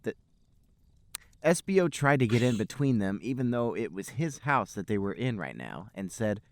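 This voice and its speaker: A man co-hosting a podcast, deadpan as he banters and reads aloud for comedy. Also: on the low side, moderate pace, dry recording. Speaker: podcast co-host and reader. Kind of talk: comic read-aloud and casual banter.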